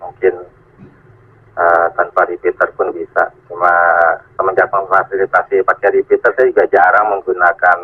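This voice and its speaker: A man's voice: sits low, flat delivery, normal speed, slightly rough. A man talking casually as an amateur radio operator, heard through a two-way radio. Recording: narrow, tinny radio transmission.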